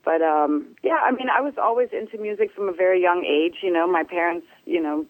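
Relaxed woman musician, dry close sound. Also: on the low side, fairly quick, no room sound, phone-quality sound. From Germany. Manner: relaxed, hesitant, many fillers. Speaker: bass player, interview guest. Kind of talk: casual phone interview.

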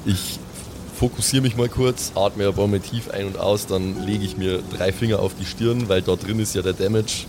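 A man's voice: fairly low pitch, steady tone, moderate pace, music in the background. A man chatting casually as a player in an improvised tabletop roleplaying game. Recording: clear ambient noise behind.

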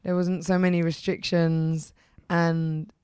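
Husky young woman, raspy, chatty. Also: fairly low pitch, little pitch movement, darkish tone, no echo, studio quality. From England. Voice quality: husky, rough. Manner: chatty, repeats "like", quick restarts. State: hoarse from heavy use. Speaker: singer, guest. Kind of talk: casual interview chat.

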